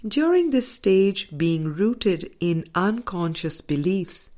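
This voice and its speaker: A woman reading a spiritual audiobook as scripted narration, with measured, deliberate phrasing. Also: lowish voice, sing-song, warm tone. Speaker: audiobook reader.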